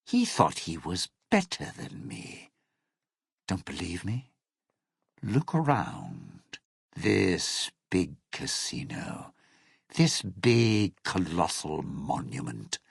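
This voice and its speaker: Game role: smug, vengeful crooner-thief, low husky rasp, widely swinging sardonic delivery. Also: male voice, wildly swooping pitch, slow, no echo, clean studio sound, exaggerated voice. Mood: scornful, bitter. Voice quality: husky, gravelly. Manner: sardonic, theatrical, sneering. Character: bitter, scheming male lounge singer and thief, smug and vengeful. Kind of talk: video game character dialogue.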